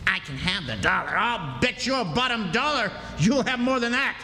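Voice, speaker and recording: A man's voice: high-pitched, lively intonation, moderate pace, pushed and bright, exaggerated voice. An actor playing a sneering, greedy old backwoods father who scolds in a folksy rustic drawl, in live radio drama style. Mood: threatening. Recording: echoey hall, live stage, hissy background.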